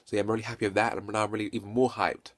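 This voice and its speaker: A man with a stutter giving an unscripted, enthusiastic game review, halting and often correcting himself, recorded on a handheld phone. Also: on the low side, flat delivery. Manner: halting, self-correcting and repetitive. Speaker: video game reviewer and vlogger. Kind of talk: unscripted casual hands-on review.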